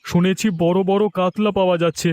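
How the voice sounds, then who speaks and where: A man voicing a poor, worried village father and fisherman, plaintive and humble, in an animated story. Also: high-pitched, fast talker, clean tone, natural voice. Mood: worried, tender. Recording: faint music behind the voice.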